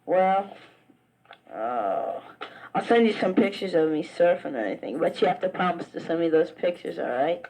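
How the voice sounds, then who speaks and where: A man's voice: high voice, sing-song, moderate pace, a little mellow, rough recording. A teenage boy from Virginia with a Southern accent, chatting rambly to a friend back home in a spoken letter, full of "uh" and restarts. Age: teens. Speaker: high school wrestler.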